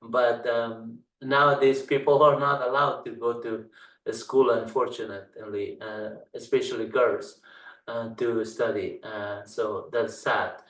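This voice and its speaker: Middle-aged Dari-native man from Afghanistan, halting tutorial delivery full of fillers, recorded in an echoey room. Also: medium pitch, steady tone, a bit bright, far from the mic. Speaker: instructor. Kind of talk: screen-share walkthrough tutorial.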